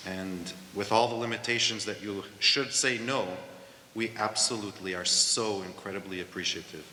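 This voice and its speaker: A man's voice: deep voice, bright, forceful tone, a little hiss or hum. A man hosting a live on-stage interview, emphatic as he thanks his guest, recorded from far off in an echoey hall. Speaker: host of the event. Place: event hall with audience.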